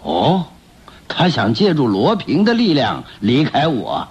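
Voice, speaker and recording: An actor playing a ruthless, scheming, hot-tempered gang boss with a thick, hoarse voice, barking orders in a radio play. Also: male voice, medium pitch, wildly swooping pitch, slow, exaggerated voice. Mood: scheming, demanding. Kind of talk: theatrical radio drama acting. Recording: muffled lo-fi radio drama recording.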